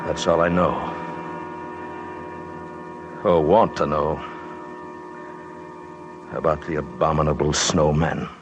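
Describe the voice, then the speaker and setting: A deep, haunted mountaineer. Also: male voice, level tone. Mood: bleak, shaken. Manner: intense, dramatic, halting. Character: haunted male mountaineer, grim, shaken survivor of a hunt. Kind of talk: 1950s radio drama first-person narration. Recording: vintage radio recording, loud orchestral music behind.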